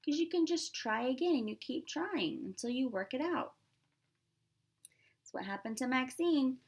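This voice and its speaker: A woman's voice: high voice, animated, normal speed, breathy edge. A woman reading a picture book aloud as a pre-K teacher, expressively, with simple asides to young children.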